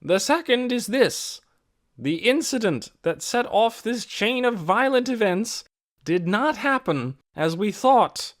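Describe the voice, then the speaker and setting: A man voicing a steady, businesslike woman who leads her village, in measured, solemn tones for an audiobook. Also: high-pitched, pitched above the performer's own voice. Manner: measured, solemn public speaking. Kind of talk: audiobook character voice.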